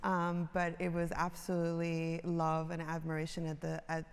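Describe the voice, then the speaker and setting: A middle-aged woman from Iran telling her life story on a conference stage, with a slow, humorous manner full of ums. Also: lowish voice, monotone, clear, resonant tone. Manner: warm-humored, drawn-out, filler-heavy. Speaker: creative director, speaker. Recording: hall reverb.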